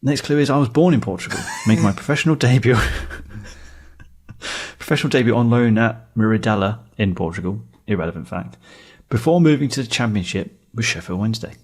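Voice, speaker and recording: A man's voice: fairly low pitch, a little fast, breathy edge. A man co-hosting a football podcast, trading banter and quiz clues in casual chat.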